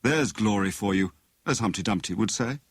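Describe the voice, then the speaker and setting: A man's voice: on the low side, expressive, moderate pace, breathy edge, dry recording. A man voicing a jovial, pompous husband who plays amateur detective in a teasing, mock-grand manner for an audiobook.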